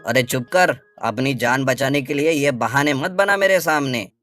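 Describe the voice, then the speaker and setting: A man voicing a hungry, bullying male beast of prey in an animated moral story. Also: medium pitch, moderate pace, dry recording, faint music behind, lightly acted. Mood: scornful. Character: menacing male predator beast, wolf or tiger, hungry bully.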